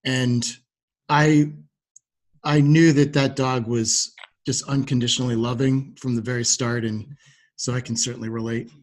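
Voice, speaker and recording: A man hosting a podcast interview, responding in a measured, affirming and supportive way. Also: mid-range voice, flat delivery.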